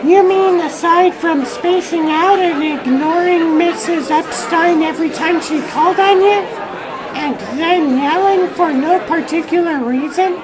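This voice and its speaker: A man's voice: extremely high pitch, measured pace, crowd noise, poor audio. A man voicing a blunt, loyal teenage girl in a comic dub, with a sassy, scolding manner.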